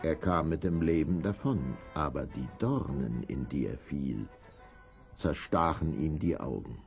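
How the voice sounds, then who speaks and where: A male storyteller narrates a fairy tale with drama. His deep, creaky voice is mellow and moves unhurriedly, over faint music. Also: lively intonation, a little slow.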